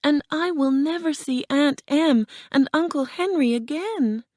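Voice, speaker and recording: A woman voicing a gentle young girl, the earnest and plaintive heroine of a storybook. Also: on the high side, very animated, a little slow, dry recording, studio quality, pitched above the performer's own voice. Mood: sad.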